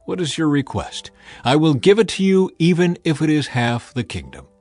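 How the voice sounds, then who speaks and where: A man's voice: on the high side, big pitch swings, moderate pace, a little mellow, dry recording, faint music behind, voice pushed up. A man playing a generous, commanding king with a regal, emphatic manner in a dramatized scripture reading. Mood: generous, inquiring.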